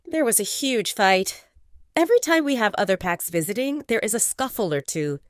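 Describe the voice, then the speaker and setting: A woman voicing a young adult sister who talks in a brisk, matter-of-fact way. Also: mid-range voice, expressive, dry recording, clean studio sound, close to an everyday voice. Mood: exasperated.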